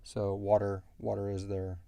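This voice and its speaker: Man, low relaxed voice, very dry close sound, casual rambling explainer of fictional lore. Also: normal speed, vocal fry, clean tone, no room sound. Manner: rambling, quotes characters in brief asides. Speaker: show creator answering listener questions.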